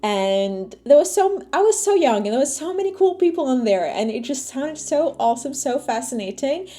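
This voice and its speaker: Chatty young woman, mellow voice. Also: sits high, normal speed. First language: Arabic. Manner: chatty, animated, lots of fillers. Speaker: vlogger. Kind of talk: casual talk to camera. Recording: faint music underneath.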